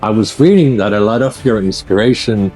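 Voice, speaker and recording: A man's voice: on the low side, expressive, fairly quick, darkish tone, faint music behind, rough recording. A man hosting a conversational video interview, presenting to viewers and prompting his guest. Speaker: host, interviewer.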